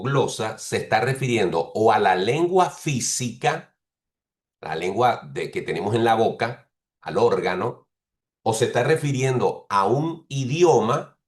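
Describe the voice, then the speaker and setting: Low-voiced male preacher in an echoey room. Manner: explains patiently, repeats and rephrases points; speaks bilingually of Spanish and English. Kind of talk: expository sermon teaching.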